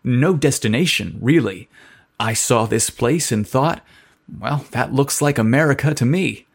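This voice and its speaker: A man's voice: medium pitch, measured pace, slightly rough. A nervous young writer, stammering and flustered, his words tumbling out, as a male narrator puts him on. It is a plain storybook voice close to the reader's own. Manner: stuttering, flustered.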